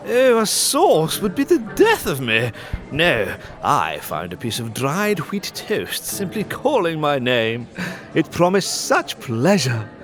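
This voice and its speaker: Frail fussy suitor, wildly swooping voice. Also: male voice, on the high side, huge pitch swings, slow pace, music in the background, heavily acted. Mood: fussy self-pity. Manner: fussy, genteel, self-pitying. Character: frail, fussy, stooped thin hypochondriac suitor, Sir Charles. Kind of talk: farcical audio drama acting.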